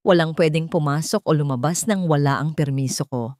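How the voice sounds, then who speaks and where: A determined young heir and protective father from a romantic drama, played by a woman narrator. The voice is fairly low, grave and deliberate, with commanding weight on the words. It sounds dry and close. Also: darkish tone, dry recording, natural voice. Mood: cold, commanding.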